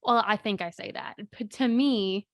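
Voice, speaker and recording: A woman with a slightly raspy voice hosting a casual solo podcast from her home office, telling chatty stories with quick asides. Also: mid-range voice, fairly bright tone, dry recording. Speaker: podcast host and spiritual coach.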